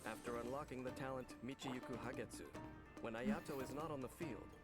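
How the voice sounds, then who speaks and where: Man narrating over loud music. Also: medium pitch, fairly quick. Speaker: game trailer narrator. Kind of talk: scripted narration of a character showcase.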